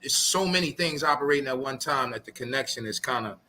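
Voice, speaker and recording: A man from Baltimore, an actor, joining an interview by video call from a film set, animated and joking in casual slang. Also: mid-range voice, quick pace, slight background noise. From Baltimore, USA. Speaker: actor guest.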